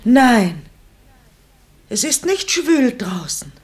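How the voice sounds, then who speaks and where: Slow-speaking, practical mother. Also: a little high, sing-song, darkish tone, exaggerated voice. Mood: brisk, firm. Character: practical, dismissive middle-aged mother, insisting on order. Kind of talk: German radio play acting.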